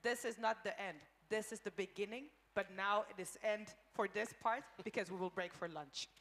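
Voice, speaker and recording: A woman's voice: medium pitch, normal speed, fairly bright tone, a little hiss or hum. A woman choreographer moderating a panel discussion. She talks in a searching way, building lists and restarting her phrases. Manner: thoughtful, searching with fillers and repeated lists.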